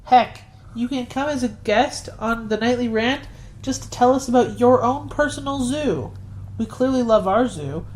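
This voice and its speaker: A woman's voice: a little high, normal speed, darkish tone. A woman co-hosting a casual podcast, cutting in with brief, wry remarks. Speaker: podcast co-host.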